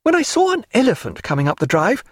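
A man's voice: very high voice, huge pitch swings, fast talker, slightly rough, no echo, studio quality, pitched above the performer's own voice, heavily acted. A man voicing an effusive, vivacious society woman in an audiobook, chatty and fretful. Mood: chatty, vexed. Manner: gushing, chatty, breezy. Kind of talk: audiobook character voice.

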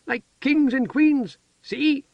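A man voicing an excitable, childlike village woman who shouts and chatters eagerly. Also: very high voice, takes it slowly, creaky, no echo, voice pushed up, exaggerated voice. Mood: excited. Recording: dull lo-fi dry recording.

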